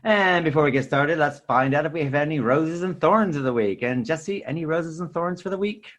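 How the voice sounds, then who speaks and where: A man's voice: a little high, a little fast, a bit bright. A man hosting a podcast in a casual, chatty style. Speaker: podcast host. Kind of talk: casual chat.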